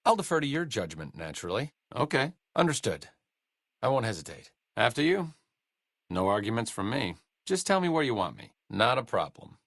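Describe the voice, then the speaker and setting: A man's voice: mid-range voice, big pitch swings, moderate pace, gravelly, a bit bright, no room sound, exaggerated voice. A man voicing a sardonic middle-aged male doctor in a video game, delivering companion lines with dry, sarcastic wit. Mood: wry. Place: studio booth. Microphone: close studio mic.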